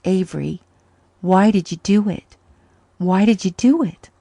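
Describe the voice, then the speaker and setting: A woman's voice: fairly low pitch, steady tone, moderate pace, warm tone, no echo, close to an everyday voice. A grave doctor, stern and earnest yet tender underneath, as read by a woman narrator. His lines are lifted only a little above her usual reading voice. The sound is close and dry. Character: grave doctor uncle, a grown man, stern but tender.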